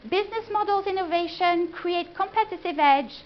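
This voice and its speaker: A woman with a French accent gives a chatty business presentation at a live event and keeps pulling the audience in with questions. Her voice sits very high. The recording is muffled and lo-fi. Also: normal speed, slight background noise. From France. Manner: chatty, engaging, asks the room questions.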